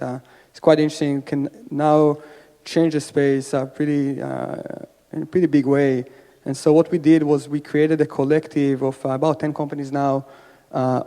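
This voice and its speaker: A man's voice: on the high side, warm tone. A man with an Israeli accent introducing his fund on a conference panel, hesitant with many fillers. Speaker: venture capital panelist. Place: conference hall stage. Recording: hall reverb.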